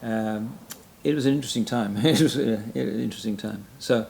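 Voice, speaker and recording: A man's voice: medium pitch, brisk, vocal fry, slight background noise. An older man in his sixties from Wales with a Welsh accent, a retired pastor recalling his ministry in an interview. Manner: frequent backchannel mm and yeah, soft self-deprecating laughs. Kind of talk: reflective interview conversation.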